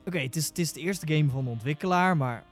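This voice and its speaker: A man's voice: fairly high pitch, fast, faint music behind. A man chatting casually as a podcast panelist, hesitant and informal, often restarting his phrases. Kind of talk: casual chat.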